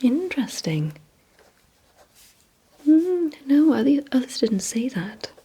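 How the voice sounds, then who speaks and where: A woman performs ASMR in a very breathy, almost entirely unvoiced whisper. She speaks slowly and deliberately, guiding the listener through instructions in short, hushed phrases. The tone is soft and mellow, with little edge to it. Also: high voice, big pitch swings. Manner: slow, deliberate, hushed delivery with short pauses. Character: calm, softly commanding female vampire examiner. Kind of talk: whispered ASMR roleplay, giving instructions and word games.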